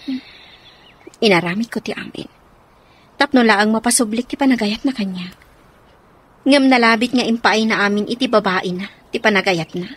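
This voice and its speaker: A woman's voice: a little high, normal speed, long pauses, breathy edge. A woman playing a dutiful mother and wronged wife in a radio drama, speaking with an Ilocano accent. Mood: sorrowful, wistful.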